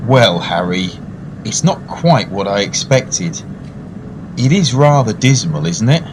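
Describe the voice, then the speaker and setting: A man playing an urbane food lover, narrating a radio tale in a measured, wry manner. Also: fairly low pitch, big pitch swings, noisy background. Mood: polite, amused. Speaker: narrator and actor in a radio play. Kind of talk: dramatised first-person storytelling.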